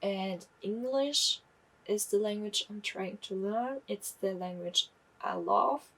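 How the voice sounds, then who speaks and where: A young woman reviews books in a slow, unhurried way. Her voice is a little husky. She talks candidly and often stops to correct herself. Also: mid-range voice, fairly bright tone, distant mic, low-quality recording. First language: German. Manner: chatty, candid, self-correcting. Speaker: book vlogger. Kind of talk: casual book review.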